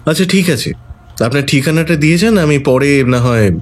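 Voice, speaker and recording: An actor playing a shrewd, calm detective who reasons things through in a measured way. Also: male voice, on the high side, natural voice. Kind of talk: audio drama acting. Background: faint background music.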